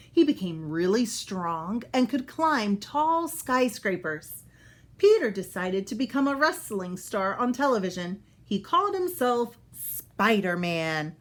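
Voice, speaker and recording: A woman reads a children's story aloud for young listeners. Her mid-pitched voice moves up and down in an animated, expressive way, and she adds small explanations as she goes. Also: slow pace. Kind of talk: children's book read-aloud.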